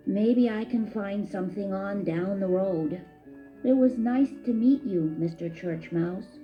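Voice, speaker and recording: A humble little country field mouse, played by a woman reading a storybook, speaks slowly and quietly. He is polite and hesitant, with faint music behind him on a slightly muffled recording. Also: mid-range voice, lightly acted. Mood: disappointed.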